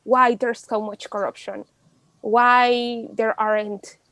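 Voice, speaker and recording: A woman's voice: on the high side, little pitch movement, slow pace, fairly bright tone, dry recording. A young woman from Mexico, a law student speaking as a podcast guest in casual, chatty talk full of fillers and restarts. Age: young adult.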